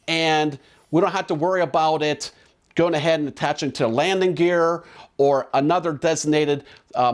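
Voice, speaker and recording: A man presenting drone equipment in a casual, conversational, explanatory product demo. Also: fairly high pitch.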